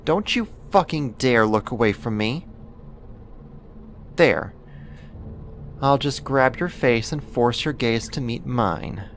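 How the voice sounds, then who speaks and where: An actor playing an obsessive, possessive neighbor turned kidnapper in an ASMR roleplay, speaking in a deliberate, intimate, controlling way. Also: medium pitch, lively intonation, slight background noise. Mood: menacing, commanding.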